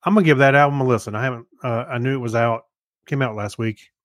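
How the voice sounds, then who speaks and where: A man's voice: medium pitch, fast talker, fairly bright tone, dry recording, clean studio sound. A man co-hosting a podcast, giving informal opinions in a rambling, hesitant, conversational way. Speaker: podcast co-host. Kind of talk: casual chat, informal reviews.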